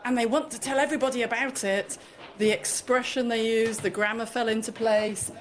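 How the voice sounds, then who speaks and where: A woman's voice: fairly high pitch, steady tone, brisk, a little hiss or hum, rough recording. A middle-aged woman, an interview guest, telling her account in an articulate, conversational and explanatory way. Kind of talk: radio interview, conversational storytelling.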